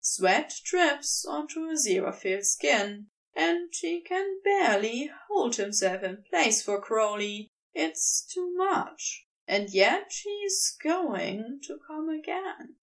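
Woman narrating a story, higher voice, really lively pitch, takes it slow. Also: creaky, far from the mic, low-quality recording. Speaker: podfic reader. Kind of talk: scripted story narration, expressive audiobook reading.

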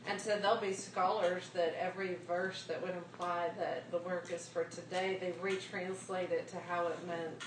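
Woman, group member, lowish voice, blunt, distant and roomy with audible noise. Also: flat delivery, normal speed, few pauses. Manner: frank, speaks up with her own view. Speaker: Bible study participant. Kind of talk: casual group discussion. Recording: echoey room, heard from a distance with background noise.

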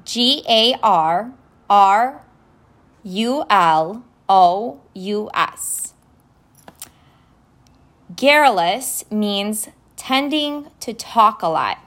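A woman whose first language is Romanian talks through vocabulary words at an unhurried pace, spelling each one out carefully. Her pitch sits in a middle range, and she sounds casual and deliberate. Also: very animated, fairly bright tone. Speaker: podcast host. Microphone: phone app recording.

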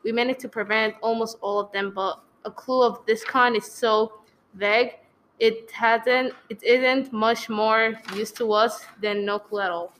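A woman's voice: on the high side, fairly even intonation, unhurried, fairly bright tone, a little hiss or hum, rough recording. A woman playing a matter-of-fact, patronizing police desk officer in a radio play, brusque and dismissive with the caller. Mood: patient, dismissive.

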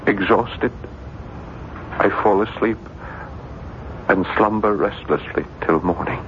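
An actor playing an anxious, haunted French writer in a 1970s radio drama, with intense, dramatic delivery. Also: male voice, on the low side. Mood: haunted, terrified. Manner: intense, dramatic, halting repetitions. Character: anxious, haunted male French writer, tormented by night terrors. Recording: noisy, muffled 1970s radio broadcast.

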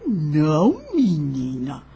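An actress playing a wise, devout old enslaved nanny in a radio drama, gentle and motherly, with a husky voice and rustic, unschooled speech. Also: sits low, very animated, extremely slow, full character voice. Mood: earnest, devout. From taken by force from her homeland. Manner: rustic uneducated grammar, patient moral teaching.

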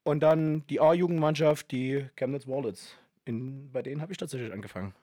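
Man, 30s, podcast host, jokey and chatty, medium pitch, dry close sound. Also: brisk, dark tone, no room sound. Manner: joking, chatty. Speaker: podcast host and linebacker coach. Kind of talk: casual podcast chat.

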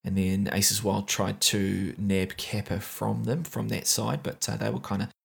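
A man's voice: fairly low pitch, steady tone, a little slow, vocal fry, husky. A man with a New Zealand accent giving a casual recap of a competitive game match, with frequent fillers and restarts. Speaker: esports match recap caster.

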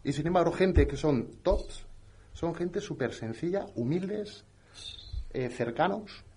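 A man talks basketball with passion in a relaxed chat. His voice sits a bit high for a man. He gets animated and stumbles over repeated words as he builds his points. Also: takes it slowly, breathy edge. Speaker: basketball podcast co-host. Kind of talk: casual chat.

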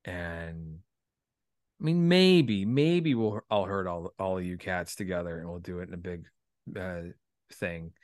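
A man's voice: low-pitched, unhurried, no room sound, clean studio sound. A man hosting a podcast in casual chat, rambling hesitantly with a self-deprecating streak. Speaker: podcast host.

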